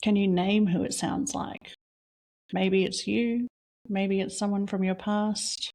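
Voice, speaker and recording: A woman leading a guided reflection exercise, asking slow, soft questions with long pauses between them. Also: mid-range voice, fairly even intonation, vocal fry. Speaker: meditation guide.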